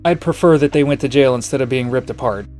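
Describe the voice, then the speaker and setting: An actor playing a troubled private detective with memory gaps in a noir audio drama, brooding and confessional. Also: male voice, medium pitch, fast, darkish tone, reverberant, faint music behind, natural voice. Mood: defensive. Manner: brooding, confessional inner monologue.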